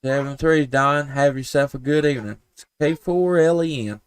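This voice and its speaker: A man's voice: a little high, moderate pace, clear, resonant tone. A man with a Southern US accent chatting and calling as an amateur radio operator, folksy and polite. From Tennessee, United States. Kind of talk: casual ham radio chat and calling.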